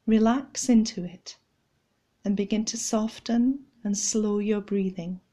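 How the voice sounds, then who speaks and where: Woman doing guided prayer meditation, really slow, relaxed mellow tone, gentle and measured. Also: mid-range voice.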